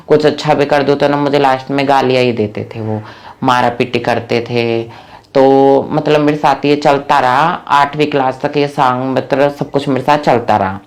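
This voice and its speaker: A young adult woman from Haryana, telling her life story in a plain, earnest way with many fillers. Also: extremely low pitch. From Rohtak, Haryana, India. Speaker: interviewee. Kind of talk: personal life story told to camera.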